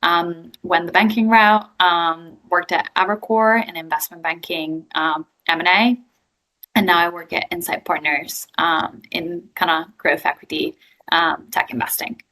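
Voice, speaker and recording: A woman's voice: lowish voice, steady tone, a little slow, sharp tone, low-quality recording. A young woman with an Italian accent, answering interview questions in a chatty way with frequent fillers. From Italy. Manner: chatty, frequent ums and likes. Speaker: guest, growth equity investor.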